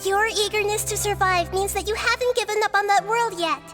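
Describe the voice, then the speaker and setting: A woman playing a small, wise child goddess in a video game, speaking in a measured, formal and earnest way. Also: extremely high pitch. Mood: firm, solemn. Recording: game music under the voice.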